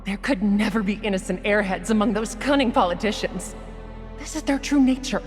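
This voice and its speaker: An actress playing a shrewd, cynical young woman in a dramatic, animated video game cutscene style. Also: mid-range voice, brisk, slightly rough, in a large hall, soft background music, close to an everyday voice. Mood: scornful, intrigued.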